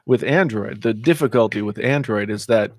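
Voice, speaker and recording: A man's voice: lowish voice, normal speed, dry recording. A man co-hosting a tech podcast in casual chat, easygoing and wry, often restarting his sentences as he talks into a studio microphone.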